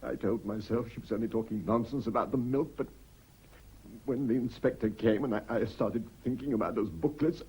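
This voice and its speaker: An actor playing an anxious, guilt-ridden husband in a radio drama, hesitant, stammering and earnest. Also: male voice, medium pitch, fairly quick, dark tone, slightly rough, low-quality recording. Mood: remorseful, uneasy. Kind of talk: British radio drama acting.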